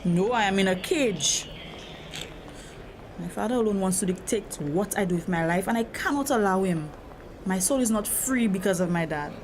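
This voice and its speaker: A woman's voice: medium pitch, moderate pace, lightly acted. A woman acting as a hurt, troubled grown daughter in a drama, speaking earnestly and confidingly about feeling trapped. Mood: frustrated. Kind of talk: dramatic acted dialogue. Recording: noisy location sound with steady ambience.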